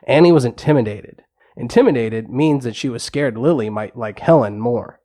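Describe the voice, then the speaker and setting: A man's voice: mid-range voice, normal speed, warm tone, slight background noise. Male storybook narrator. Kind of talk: scripted children's storybook narration.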